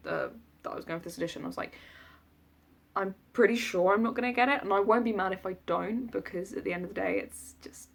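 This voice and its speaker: A woman's voice: medium pitch, fast talker, gravelly. A woman in her twenties with a southern English accent, chatting casually to camera in a rambling, self-deprecating, jokey way. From south of England. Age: 20s. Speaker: vlogger recounting an audition. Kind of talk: casual rambling vlog chat.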